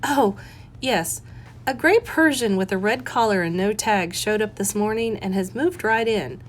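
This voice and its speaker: A woman's voice: medium pitch, a little slow, a little hiss or hum, natural voice. Woman voicing an earnest, lilting amateur sleuth. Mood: polite, shy. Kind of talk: audiobook character voice.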